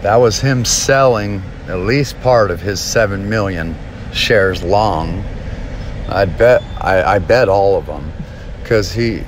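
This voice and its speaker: Deep-voiced man rambling about a stock. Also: a little hiss or hum. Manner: rambling, halting, many ums and restarts. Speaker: stock market video host. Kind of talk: casual talk over a chart screen share.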